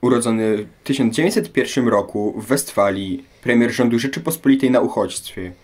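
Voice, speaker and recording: A man's voice: mid-range voice, fairly quick, darkish tone, a little hiss or hum. A young man presenting a scripted interview as host, in a formal, rhetorical manner. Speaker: radio programme host.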